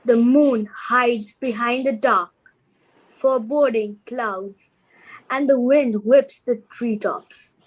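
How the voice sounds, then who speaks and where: Slow-paced woman narrating a radio play. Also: fairly high pitch, rough recording.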